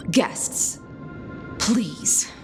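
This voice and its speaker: A woman's voice: drawn-out words, mellow, soft background music. An actress playing a dutiful female knight commander in a video game, speaking in a formal, composed, deferential manner. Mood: courteous command. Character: courteous, dutiful female knight commander loyal to her ruler.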